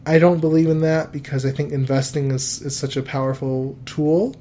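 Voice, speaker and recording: A man in his early thirties hosts a casual money podcast at a middle pitch. He talks in a loose, rambling way and keeps restarting his sentences. A faint hiss sits behind his voice. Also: moderate pace. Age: 30s. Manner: relaxed, rambling, with frequent fillers and restarts. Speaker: podcast host giving financial advice.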